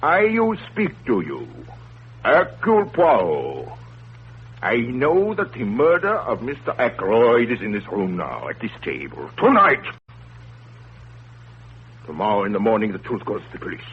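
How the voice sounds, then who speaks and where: An actor playing a courteous, commanding, self-important detective in 1930s radio drama, with pompous, formal, deliberate delivery. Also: male voice, lowish voice, huge pitch swings, breathy edge, exaggerated voice. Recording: tinny, noisy, humming 1930s radio broadcast.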